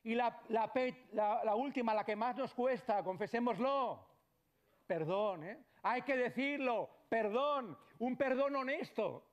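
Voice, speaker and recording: A middle-aged man from Barcelona giving a conversational lecture to doctors, often checking in with the audience and letting his voice trail off quietly. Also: extremely high pitch, measured pace. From Barcelona, Spain. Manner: conversational, frequent tag questions, trails off quietly. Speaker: psychologist giving a talk. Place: lecture hall.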